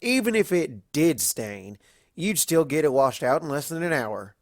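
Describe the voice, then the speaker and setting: A blunt, scoffing farm mare, put on by a man, in cartoon storybook style. The pitch sits raised and the sound is close and dry. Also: big pitch swings, a little slow, no room sound. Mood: scornful.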